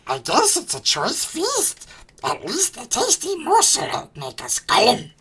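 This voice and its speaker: A small, slimy storybook creature, hissing in a very husky rasp. The pitch is lifted high and lurches wildly as it drags out its hungry, sinister muttering to itself. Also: wildly swooping pitch, unhurried, sharp tone, full character voice. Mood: hungry, gloating. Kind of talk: storybook character voice.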